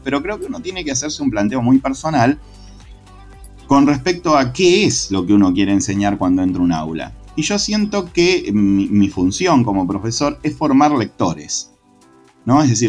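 An Argentine man speaks in a relaxed conversational interview, thoughtful and passionate, often restarting his phrases and hesitating. His voice sits at an ordinary middle pitch for a man. Faint music runs quietly underneath. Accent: Argentine Spanish. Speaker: secondary school literature teacher.

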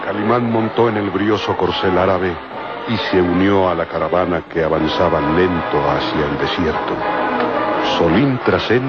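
Low-voiced dramatic radio serial narrator played by a man. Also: normal speed, lightly acted. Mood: grave, dramatic. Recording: muffled old radio recording with loud music.

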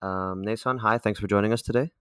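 A man hosts a relaxed interview show, welcoming his guest in a friendly, joking way. His voice sits on the low side. The recording is close and dry. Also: fairly quick, smooth, no room sound. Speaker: podcast host. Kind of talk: casual interview hosting.

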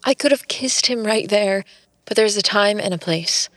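A woman's voice: medium pitch, normal speed, fairly bright tone, no echo, close to an everyday voice. An actress playing a wry modern woman who confidingly narrates her own story in a scripted audio drama.

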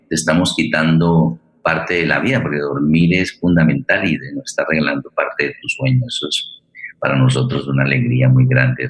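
A man hosting a radio programme, introducing guest and programme in a wordy, courteous, halting way. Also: a bass voice, a bit bright, poor audio. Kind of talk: unscripted, welcoming introduction of a guest and play.

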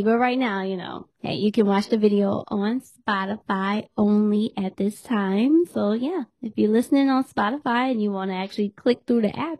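A woman hosting a podcast in a casual chat, losing her voice and hoarse, teasing and laughing often. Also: medium pitch, darkish tone, no room sound. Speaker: podcast host.

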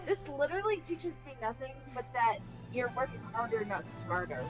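High, animated woman making dry quips. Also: moderate pace. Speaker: podcast co-host. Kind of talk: casual film reaction chat. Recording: music clearly behind the talk.

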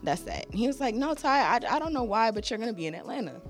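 A young woman in her twenties from Michigan, a podcast guest telling her story in a lively, casual way with frequent laughs and a light, youthful voice. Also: on the high side, fast, faint music behind.

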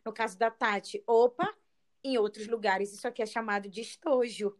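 A woman's voice: a little high, steady tone, moderate pace. A woman from Belém, a podcast co-host who reflects aloud and explains ideas with examples. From Belém, Brazil. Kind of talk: casual podcast conversation.

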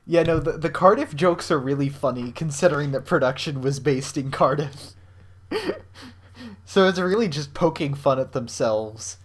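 A man's voice: on the high side, moderate pace. A man co-hosting a podcast in casual chat, rambling with lots of fillers and swearing freely. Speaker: podcast co-host.

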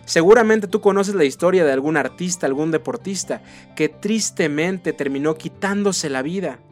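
A man's voice: fairly high pitch, normal speed, faint music behind. A Mexican man hosting a motivational podcast, speaking earnestly and asking the listener rhetorical questions. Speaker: podcast host.

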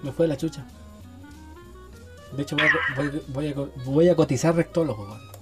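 A man's voice: fairly high pitch, normal speed, over loud music. A man with a Chilean accent, co-hosting a casual chat full of crude, teasing banter.

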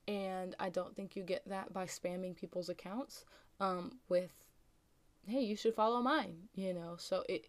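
A young American woman rambles through her solo podcast in a mellow, warm tone that sounds a little raspy from just waking up. Her voice sits at a middle pitch and is recorded dry and close, with no room around it. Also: no room sound. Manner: rambling, chatty, frequent ums and likes. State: slightly raspy morning voice. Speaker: podcast host. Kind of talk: casual solo podcast chat.

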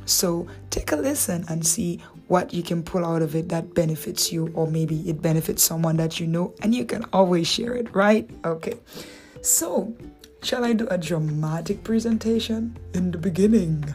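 A woman with a Dominican accent teaching from the Bible in a relaxed, conversational way, with rhetorical questions and playful asides. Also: on the low side, normal speed, faint music behind.

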